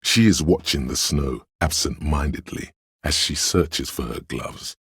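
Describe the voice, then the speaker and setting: A man narrating a video game in a scripted, dramatic style, with a gravelly, husky voice and measured delivery. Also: rumbling low, a bit bright, dry recording, clean studio sound.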